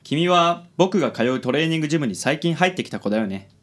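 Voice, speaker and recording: An actor playing a gallant, self-admiring handsome man, smooth-talking and theatrically cool, sprinkling English words in a radio comedy. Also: on the high side, expressive, quick pace. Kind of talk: radio comedy drama acting.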